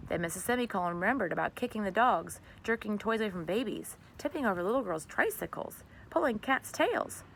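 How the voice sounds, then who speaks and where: Bright-voiced woman reading a children's story. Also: medium pitch, lively intonation, fairly quick, creaky, few pauses, a little hiss or hum, low-quality recording. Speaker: storybook reader. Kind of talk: children's story read aloud, expressive narration.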